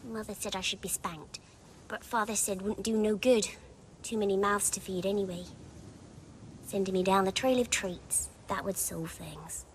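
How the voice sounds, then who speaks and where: A woman's voice: mid-range voice, steady tone, moderate pace, slight background noise, close to an everyday voice, poor audio. Woman voicing an innocent little peasant girl. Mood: innocent, matter-of-fact. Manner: naive, simple rustic phrasing. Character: small, innocent, earnest lost peasant girl.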